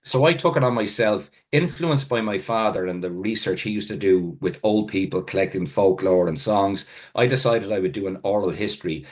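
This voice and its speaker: A middle-aged man with an Irish accent, his first language being Irish, speaks in a slightly low voice. He tells his history in a loose, meandering way, full of fillers and self-corrections, like an informal lecture. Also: moderate pace, hardly any pauses. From Ireland. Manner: rambling, self-correcting storyteller with many fillers. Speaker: food historian giving a talk.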